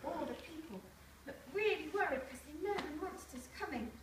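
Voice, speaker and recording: A woman reading a story aloud to young children in an expressive way, pausing to address them directly with questions. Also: sits high. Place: primary school room, reading aloud to children. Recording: echoey room, distant mic, faint noise.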